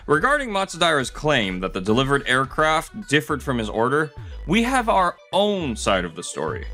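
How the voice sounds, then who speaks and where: A man's voice: fairly high pitch, pushed and bright. A man voicing a composed, formal company chief in a manga dub, polite and self-assured. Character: composed, formal male rival aircraft company CEO.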